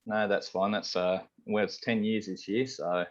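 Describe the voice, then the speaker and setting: Deep-voiced Australian chef, rambling. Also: male voice, normal speed. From Sydney, Australia. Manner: rambling, relaxed, many fillers like "you know" and "um". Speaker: head chef, guest. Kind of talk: casual interview chat. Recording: slightly dull lo-fi audio.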